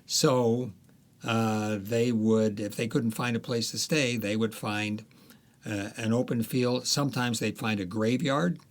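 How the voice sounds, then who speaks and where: A man, an author being interviewed, talking through history in a relaxed, unhurried way with frequent fillers and restarts. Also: mid-range voice. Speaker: author guest.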